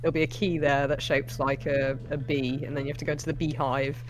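A man's voice: a little high, level tone, a little fast. A man co-hosting a casual movie reaction chat, explaining things in a wry way. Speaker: reaction show co-host. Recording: music and film audio behind voice. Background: film soundtrack music.

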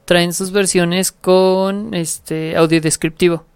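A co-host, talking in a measured, informative way in a casual chat. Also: medium pitch, level tone, clean tone, no room sound.

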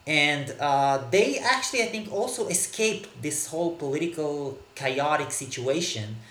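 A man from Israel, a native Hebrew speaker, answering interview questions in a halting way with many fillers and repeated words. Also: a little high, expressive, a bit bright, echoey room, slight background noise. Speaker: podcast guest, author. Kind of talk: casual interview talk. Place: Berlin.

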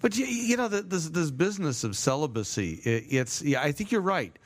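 A man co-hosting a casual theology podcast, speaking haltingly and often repeating words as he works out his point. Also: a little high, big pitch swings.

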